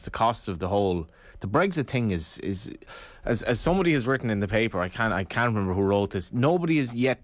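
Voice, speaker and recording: Fast-talking argumentative racing pundit. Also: male voice, fairly low pitch, no echo. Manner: argumentative, many restarts, insistent. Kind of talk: radio studio debate.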